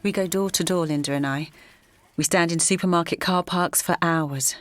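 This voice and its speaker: An actress playing Alice, an earnest, determined mother who narrates in steady, reflective tones. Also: fairly low pitch, moderate pace, dry recording, close to an everyday voice. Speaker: narrator and lead character. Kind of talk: audio drama narration.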